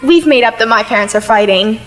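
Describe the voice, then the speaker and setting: A woman's voice: fairly high pitch, brisk, natural voice, low-quality recording. A woman acting a scornful, gossipy teenage girl in an audio play, with slangy, squeamish delivery.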